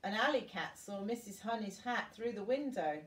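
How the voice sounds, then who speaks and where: Older woman, storybook reading for children, expressive, grandmotherly asides, roomy echo, distant from mic. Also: mid-range voice, moderate pace.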